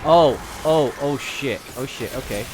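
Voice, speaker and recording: A man's voice: mid-range voice, unhurried, very noisy. A man chatting casually and informally, reacting to a story and often repeating words as he goes. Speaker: guest listener giving reactions. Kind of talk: casual chat.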